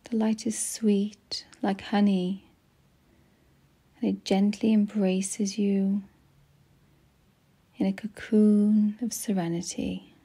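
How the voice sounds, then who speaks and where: A woman narrating a guided meditation in a soft, breathy, near-whispered voice, gentle and soothing, with long pauses. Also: medium pitch, level tone.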